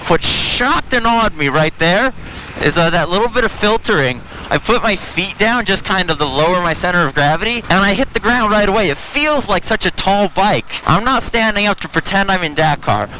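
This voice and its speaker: American guy rambling on his bike ride, helmet mic, bright-ish tone, some traffic hum. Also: high-pitched, expressive, normal speed, rough recording. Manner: chatty, rambling, self-deprecating asides. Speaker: motorcycle vlogger. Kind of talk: casual ride-along commentary. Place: riding a motorcycle through city traffic. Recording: faint road and engine noise. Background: traffic and engine noise.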